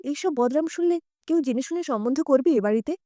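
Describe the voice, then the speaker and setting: Indignant sister-in-law for an audio story, played by a female narrator: high, insistent, scolding over family honour. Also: expressive, a little fast, dark tone, no room sound, clean studio sound. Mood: reproachful.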